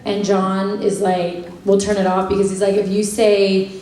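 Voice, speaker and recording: Young woman panelist, bubbly and jokey, mid pitch, distant echoey hall recording. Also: little pitch movement, warm tone, a little hiss or hum. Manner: bubbly, laughs often, quick asides. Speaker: panelist answering fan questions. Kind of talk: casual convention Q&A. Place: large convention hall. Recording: echoey hall, heard from afar.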